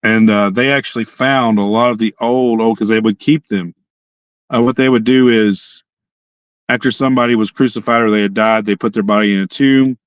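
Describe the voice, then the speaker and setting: A hoarse young man hosting a casual livestream chat from his parked car, rambling and often restarting his sentences. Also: fairly low pitch, fairly even intonation, normal speed, no echo, muffled recording. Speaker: livestream host.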